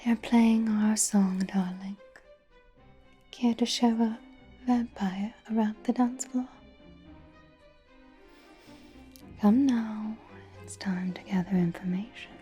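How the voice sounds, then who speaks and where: A woman voicing a doting, possessive, elegant vampire in an intimate, coaxing, breathy whisper for an ASMR roleplay. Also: mid-range voice, fairly even intonation, mellow, faint music behind, natural voice. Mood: affectionate, inviting. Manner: intimate, coaxing, slow and genteel.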